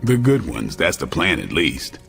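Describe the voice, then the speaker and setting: A man playing a gruff, guarded warrior who is earnest about books, in a terse, dry video game cutscene style. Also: a bass voice, wildly swooping pitch, unhurried, fairly bright tone, soft background music, full character voice. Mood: gruff, slightly defensive.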